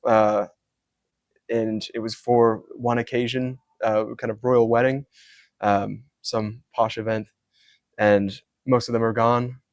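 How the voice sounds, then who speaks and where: Young man, Scottish-born hat maker; soft-spoken, flat and even, lots of ums, dry close sound. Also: medium pitch, takes it slowly, no echo, muffled recording. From Scotland. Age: young adult. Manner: soft-spoken, many ums, detailed explanations. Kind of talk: casual interview.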